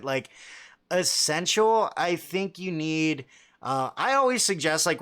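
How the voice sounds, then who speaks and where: Man, low bassy voice, casual livestream host, halting speech with fillers. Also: fairly bright tone. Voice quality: fairly low voice with a lot of bass. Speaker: livestream host answering chat questions.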